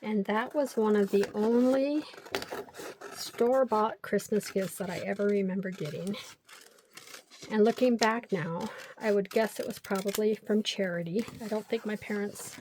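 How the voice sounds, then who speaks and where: A woman's voice: mid-range voice, darkish tone, slight background noise. An older woman hosting a crafting vlog, chatting and rambling through stories while she glues paper pieces. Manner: talks to herself while gluing. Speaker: crafting channel host.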